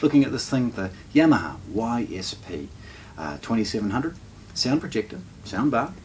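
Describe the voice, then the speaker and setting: A man's voice: mid-range voice, very animated, normal speed, darkish tone. A man giving a casual, unscripted product walkthrough as a shop presenter, hesitating and rephrasing as he goes. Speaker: shop presenter demonstrating audio gear. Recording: distant mic with faint background noise.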